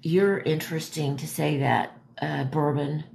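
A woman in her forties with a hoarse voice, chatting casually and haltingly as she answers viewers on a livestream. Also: deep voice, fairly even intonation, darkish tone, slight background noise. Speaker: livestream host. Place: dim living room at home, indoors. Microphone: phone propped a step or two away. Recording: echoey room, phone at a distance.

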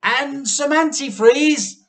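Man as an eager little boy, high-pitched. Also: slow pace, a bit bright, heavily acted. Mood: unsure. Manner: coaxing, hesitant.

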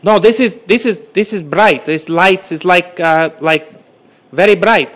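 A man playing a confused foreign caller who speaks in halting, broken English and keeps repeating himself on a prank phone call. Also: high voice, fairly bright tone. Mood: excited.

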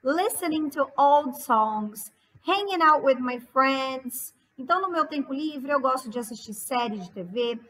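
A Brazilian woman in her thirties with a high, bright voice that has a slightly husky grain. She teaches English online in an informal, chatty way, repeating phrases emphatically and translating each line as she goes. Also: expressive, measured pace, poor audio. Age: 30s. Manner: chatty, instructive, emphatic repetition.

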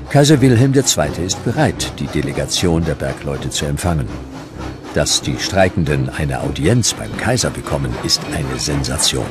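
A man with a very low voice narrates a historical documentary. His delivery is scripted narration. Music plays clearly behind him.

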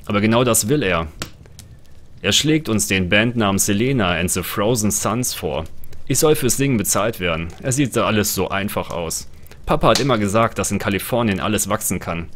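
A young man playing a game on his computer, reading its diary entries aloud and making short remarks. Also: sits low. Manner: calm, plain reading with short asides. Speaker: lets-play host.

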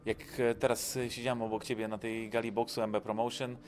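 A man's voice: mid-range voice, level tone, brisk. A man interviewing a boxer, asking informal questions with fillers. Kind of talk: casual sports interview questions.

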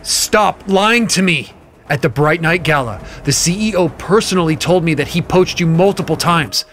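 A man's voice: fairly high pitch, moderate pace, fairly bright tone, close to an everyday voice. A man playing an angry, petty boss and scornful ex-lover in an audio drama. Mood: furious, accusing.

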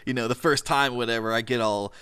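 A man casually narrating his city-building game as the host of a let's play channel, his voice a little raspy and worn, chatty and enthusiastic with plenty of fillers. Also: medium pitch, fairly even intonation, fast, sharp tone, no echo. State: slightly raspy, tired voice. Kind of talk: casual gameplay commentary.

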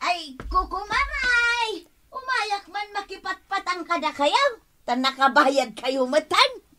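An actress playing a brash, gossipy village woman who dodges her debts, loud and exclaiming, in a radio comedy drama. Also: extremely high pitch, animated, slow, no room sound, heavily acted. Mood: coaxing.

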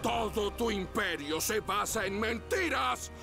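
An actor playing a bitter, vengeful older male scientist villain in a video game, with a gravelly, intense way of speaking. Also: high voice, lively intonation, takes it slowly, exaggerated voice. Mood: accusing. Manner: accusing, intense. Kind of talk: video game dub acting. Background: game music.

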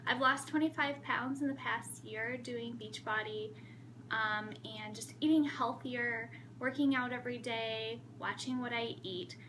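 A woman's voice: a little high, a bit bright, slight background noise, poor audio. A woman in her thirties, a flight attendant, chatting casually through a how-to vlog with lots of ums, recorded on a camera in a small room at home. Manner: chatty, upbeat, with frequent ums.

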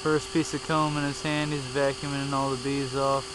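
A man narrates in a flat, even voice, calling out each step as he films. The camcorder audio carries a clear hum and steady noise from outdoors. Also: medium pitch, moderate pace. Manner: plain, matter-of-fact play-by-play. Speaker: camera operator narrating a bee removal. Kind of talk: casual running commentary. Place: outdoors under trees at a bee removal. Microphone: handheld camera mic.

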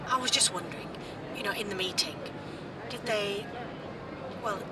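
A woman's voice: medium pitch, normal speed, distant mic, natural voice. Woman as hesitant, deferential clerk, off-mic. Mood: nervous. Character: timid, deferential office clerk named Bob. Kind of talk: modern audio drama acting. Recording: off-mic, loud crowd and traffic noise.

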